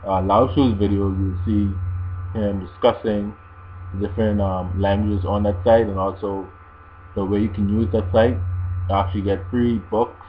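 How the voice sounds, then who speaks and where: A man's voice: lowish voice, steady tone, moderate pace. A man giving casual, explanatory advice to viewers in a language-learning video blog, often repeating his phrases as he goes. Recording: dull lo-fi sound with mains hum.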